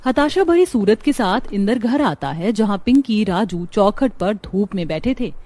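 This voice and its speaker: A woman's voice: medium pitch, lively intonation, unhurried, dark tone. A woman narrator reading a scripted story in a dramatic storytelling style.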